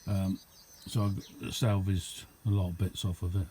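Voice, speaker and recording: A man's voice: low-pitched, level tone, normal speed, dark tone, slight background noise. A man giving a casual, unscripted show-and-tell as a hobbyist, rambling along in an understated way. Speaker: hobbyist demonstrating a homemade radio.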